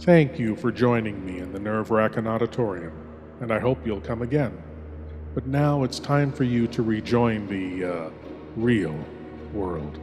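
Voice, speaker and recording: A man hosting a horror program, giving a slow, theatrical, ominous closing monologue. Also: lowish voice, darkish tone, soft background music. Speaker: horror show host.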